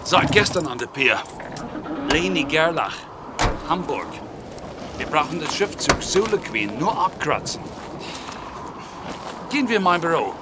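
An actor playing a brisk, emphatic naval commander in a German radio drama, speaking haltingly with slightly foreign word order. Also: male voice, a little high, very animated, heavy background noise. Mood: emphatic, animated.

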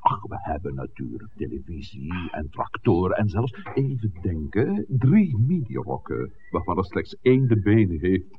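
Deep-voiced, erudite village priest. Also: male voice, big pitch swings. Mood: wry, genial. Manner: measured, articulate, lecturing. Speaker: actor in a radio play. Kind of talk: 1960s Dutch radio drama acting. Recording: muffled, hissy 1960s radio recording.

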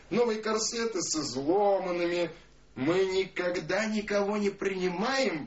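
Indignant ranting husband, high voice, declamatory, theatrical, echoey room, music behind. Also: male voice, muffled recording. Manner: declamatory, exclaiming, drawn-out emphasis. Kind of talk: theatrical monologue, radio play.

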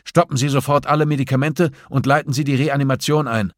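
A man voicing a forceful hospital doctor in an audiobook, urgent and impatient, with a clipped, interrogating manner. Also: medium pitch, steady tone, quick pace, no room sound, clean studio sound, voice pushed up, lightly acted. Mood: urgent, commanding. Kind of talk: audiobook dialogue acting.

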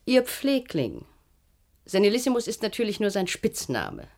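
An actress in a German radio play voicing a stern, sardonic matron of a secretive clinic, brusque, probing and condescending. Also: female voice, mid-range voice, wildly swooping pitch, moderate pace, creaky, darkish tone.

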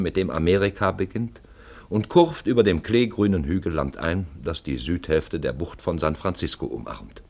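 A deep lyrical narrator for an old-style audio play, very animated, dramatic delivery. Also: male voice, full character voice. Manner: dramatic, lyrical, swelling and dropping away. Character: male storyteller, poetic and dramatic radio-play narrator. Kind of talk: scripted literary radio-play narration.

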